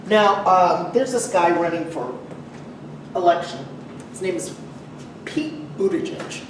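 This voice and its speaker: Woman preacher, sermon, conversational, rhetorical questions, deliberate pauses, very reverberant church, distant noisy mic. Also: on the low side, animated. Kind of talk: sermon to a congregation. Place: large echoey church room. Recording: very echoey, distant mic, some noise.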